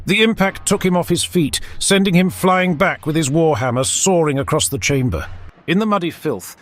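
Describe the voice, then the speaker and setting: A man's voice: a little high, lively intonation, moderate pace. A man narrating scripted fantasy fiction in a theatrical, intense storytelling manner. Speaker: audiobook-style lore narrator.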